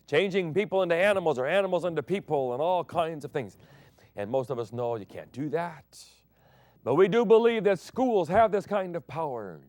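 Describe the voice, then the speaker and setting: A man preaching a broadcast sermon as pastor, swinging between loud emphatic declarations and soft hushed phrases. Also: mid-range voice, no room sound. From Thailand.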